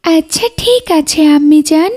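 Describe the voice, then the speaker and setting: Woman voicing a very high-pitched polite little cartoon girl. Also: lively intonation, moderate pace, darkish tone. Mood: polite, eager. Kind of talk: scripted children's cartoon dubbing.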